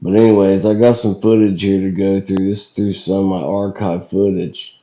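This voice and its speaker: A man with a rough, scratchy, hoarse voice, recovering from illness, giving casual commentary on footage as a channel host in a folksy, halting, colloquial way. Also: deep voice, little pitch movement, mellow. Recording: dull lo-fi recording.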